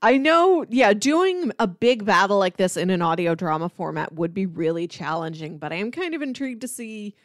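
A woman's voice: mid-range voice, lively intonation, normal speed, few pauses, dry recording. A woman with a mid-range voice chats casually as a podcast co-host in a review discussion. Her voice is close and dry, with no room sound.

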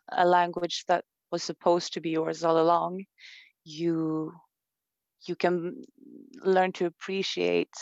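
A woman's voice: lowish voice, gravelly, a little mellow, dry recording, lo-fi sound. A woman from Sweden with a Swedish accent, speaking thoughtfully in an interview, with many fillers and restarts. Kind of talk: reflective conversation.

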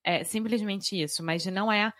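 A woman from Rio de Janeiro with a Carioca accent, co-hosting a casual podcast, frank and lively, laughing easily. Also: medium pitch, normal speed, dry recording, studio quality. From Rio de Janeiro, Brazil. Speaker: podcast co-host, Portuguese teacher.

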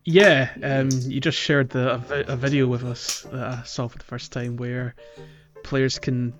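Slightly muffled man, halting casual chat. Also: medium pitch, flat delivery. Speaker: podcast co-host. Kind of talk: casual chat, gaming podcast. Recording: muffled lo-fi mic, music behind. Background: background music.

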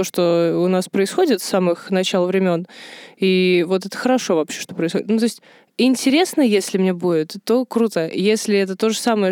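Woman rattling off questions fast on a podcast, smooth clean voice. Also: mid-range voice, darkish tone, no echo, clean studio sound. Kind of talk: casual podcast discussion.

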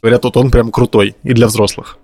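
A man's voice: mid-range voice, little pitch movement, brisk, a little mellow. A man chats as a podcast co-host. His style is informal and conversational. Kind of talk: casual chat.

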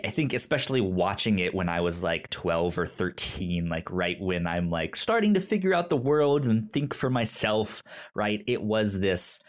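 A podcast co-host speaks in a casual, conversational way about movies. The pace is slow, and the delivery is earnest and emphatic, with frequent stammers and repeated syllables. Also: low voice. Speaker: podcast co-host discussing films. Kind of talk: casual conversational film discussion.

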